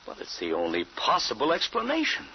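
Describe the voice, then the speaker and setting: Bewildered American father, animated delivery. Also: on the high side, a little fast, creaky, husky, exaggerated voice. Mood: dismissive, incredulous. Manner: hesitant, incredulous, repeats words. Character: bewildered, doubting father of a teenage boy. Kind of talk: 1940s-50s radio drama acting. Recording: muffled, noisy old radio recording.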